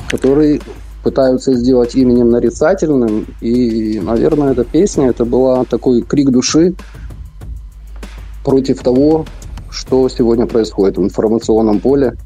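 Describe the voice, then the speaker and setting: A man's voice: medium pitch, warm tone. A middle-aged man from Ukraine, talking earnestly on a live radio interview by phone, repeating his phrases with hesitant fillers. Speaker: interview guest. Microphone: phone link.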